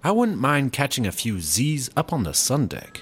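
A man's voice: mid-range voice, wildly swooping pitch, moderate pace, slightly rough, full character voice. A man playing a dutiful, hesitant new employee who worries about the rules, in a comedy audio drama. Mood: easygoing. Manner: hesitant, self-correcting. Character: anxious, dutiful male new employee, hesitant rule-follower. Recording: faint background ambience noise.

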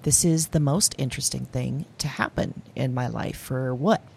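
An actress playing a lonely, awkward office worker, confiding haltingly and candidly in an audio diary drama. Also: female voice, very deep voice, vocal fry, darkish tone, slight background noise. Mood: unsettled, intrigued. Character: lonely, awkward woman office worker keeping a dream journal.

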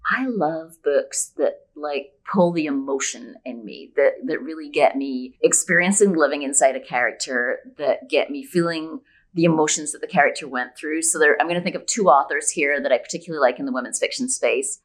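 A woman's voice: sits low, fairly quick. A woman speaking as an author guest in a conversational interview, explaining her opinions emphatically with examples. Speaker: podcast guest, women's fiction author.

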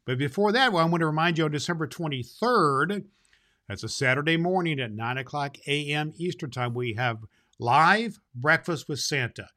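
A man playing jolly old Santa Claus as host of a children's show, talking to the kids in a folksy, emphatic, halting way. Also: a little high, expressive, pushed and bright. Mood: kindly, chatty. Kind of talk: unscripted address to children.

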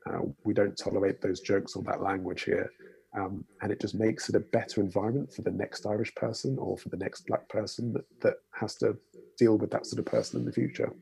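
A man with an English accent, a doctor speaking in a panel discussion in a measured way, with many fillers. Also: fairly low pitch, fairly even intonation, vocal fry, dark tone, husky, rough recording.

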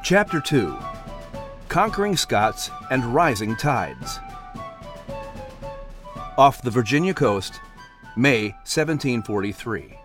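A man's voice: medium pitch, sing-song, slow, long pauses. A man reading scripted story narration as an audiobook narrator. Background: faint music.

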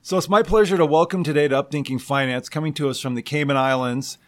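A man's voice: a little high, quick pace. A man hosting an interview podcast, introducing and talking with his guest in a conversational style.

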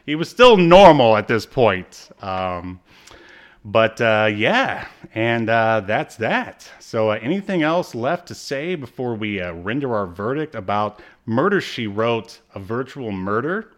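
A man hosting a casual pop-culture review chat, speaking in a halting, self-deprecating way. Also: medium pitch, sing-song. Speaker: podcast host.

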